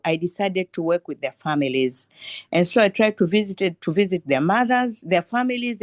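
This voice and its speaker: A woman tells her story in a lowish, steady voice. She speaks earnestly and often restarts her sentences. The recording is dry and close-miked. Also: dry recording. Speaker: ambassador for women's organization. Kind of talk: personal story on a live panel.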